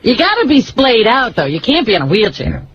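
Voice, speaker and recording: Animated female co-host, muffled radio sound. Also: a little high, a little fast, a crowd in the background. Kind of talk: casual radio banter.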